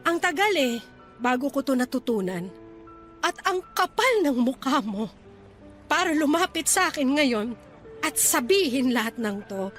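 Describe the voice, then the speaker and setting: A woman's voice: high voice, sing-song, a little slow, a bit bright, faint music behind, exaggerated voice. An actress playing a hurt, defiant young woman rebuffing her ex-lover in a radio drama, sarcastic and reproachful. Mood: hurt, firm. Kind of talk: Tagalog radio drama acting.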